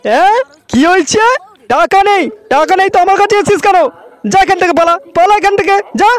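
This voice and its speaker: A man voicing a quick-tempered village snack seller in a comedy cartoon. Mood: angry. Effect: pitch-shifted cartoon voice.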